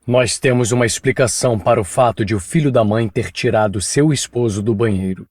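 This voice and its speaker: A man voicing an insistent police chief who questions a witness in a firm, measured way. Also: mid-range voice, a little mellow, slightly rough, no echo, studio quality, voice pushed down, natural voice. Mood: probing.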